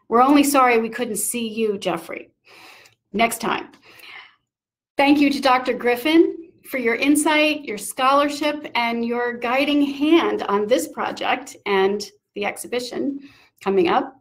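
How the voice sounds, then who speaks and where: Middle-aged woman, high voice, event host, prepared remarks, indoor video call. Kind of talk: prepared closing thanks and announcements. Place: small book-lined room indoors.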